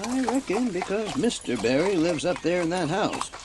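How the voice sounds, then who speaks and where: A man playing a shy, kindly farmer of sixty in an audiobook drama, halting and fumbling for his words. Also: high voice, big pitch swings, noisy background. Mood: gentle, obliging.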